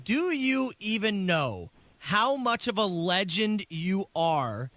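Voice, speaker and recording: A woman's voice: mid-range voice, big pitch swings, slow, a bit bright. A woman bantering casually as a co-host in a radio interview. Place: radio studio.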